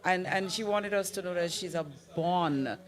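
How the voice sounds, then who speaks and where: A woman's voice: lowish voice, moderate pace. A Grenadian woman co-commentating on a live show in a chatty, agreeable way. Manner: agreeable, chatty, light laughter in the voice. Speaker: broadcast co-commentator.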